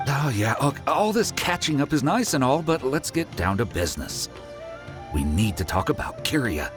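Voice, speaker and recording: A man's voice: medium pitch, sing-song, moderate pace, lightly acted. A man voicing a blunt, impatient merchant, the younger brother, in a video game. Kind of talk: video game character acting. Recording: game music clearly underneath.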